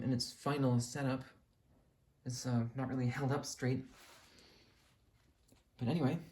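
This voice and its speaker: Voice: medium pitch, warm tone. A hobbyist narrating a casual show-and-tell video, explaining things in a detailed, matter-of-fact way.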